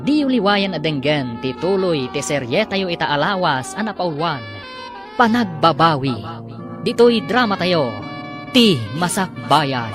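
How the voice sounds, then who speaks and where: A man narrating and announcing an episode of a radio drama. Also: fairly high pitch. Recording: radio broadcast with music bed.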